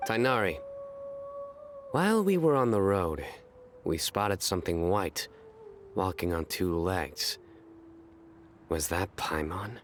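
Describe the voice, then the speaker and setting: Casting: a dry, stoic game adventurer, low-pitched and deadpan. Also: male voice, slow, pauses often, a little hiss or hum. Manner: deadpan, serious. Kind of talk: video game voice acting.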